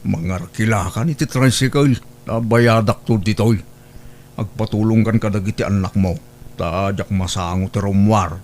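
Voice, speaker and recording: Guy acting a bossy old man in a comedy drama, lowish voice, bit of noise behind. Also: moderate pace, a little mellow, close to an everyday voice. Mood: bossy, practical. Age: older. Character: bossy, practical old senior-citizen sweetheart.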